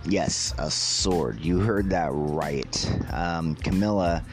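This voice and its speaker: A man hosting a casual solo podcast about news stories, with a slightly raspy voice and a halting, amused way of talking. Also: low-pitched, over music. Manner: halting, pausing mid-sentence; amused, chuckling as he talks. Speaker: podcast host.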